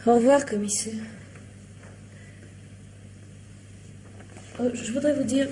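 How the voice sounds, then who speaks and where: An actress plays a weary young woman in a radio mystery, lovelorn and confessional. Her voice is high and soft-edged, mellow even when hesitant, falling to a hush at the ends of phrases. A faint noise sits on the old recording. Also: quick pace, natural voice. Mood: subdued, confessing. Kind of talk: French radio mystery drama acting.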